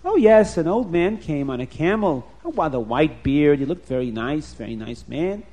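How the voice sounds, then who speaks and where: A man voicing a kind, hospitable young wife who speaks eagerly and warmly. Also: low voice, big pitch swings, moderate pace. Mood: welcoming. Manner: eager, welcoming.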